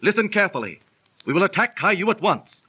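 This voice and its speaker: An actor in a 1930s radio drama playing a zealous young Tartar chieftain's son, declaiming in stately archaic diction. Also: male voice, high-pitched, sing-song, fast talker, sharp tone, husky, full character voice. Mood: triumphant, rousing. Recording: noisy old 1930s radio transcription recording.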